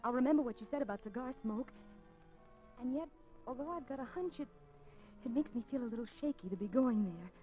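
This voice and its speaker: A woman's voice: a little high, brisk, pauses often, soft background music, natural voice. An actress playing an anxious, determined woman undercover in a 1950s radio suspense drama, with coy, hesitant delivery. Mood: wistful, uneasy. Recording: dull 1950s radio recording.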